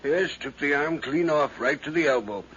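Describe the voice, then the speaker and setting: An actor playing a garrulous, morbid older gentleman who rambles and hems, in a dull, noisy 1950s radio drama recording. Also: on the high side, moderate pace, close to an everyday voice. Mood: genial, morbid. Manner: rambling, blustery, hemming.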